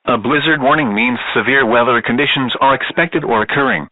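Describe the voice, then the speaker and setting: A man's voice: mid-range voice, fairly quick, no room sound. A synthetic male voice reading an emergency weather bulletin in stiff, clipped phrases, like an automated alert announcer. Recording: narrow phone-like weather radio broadcast.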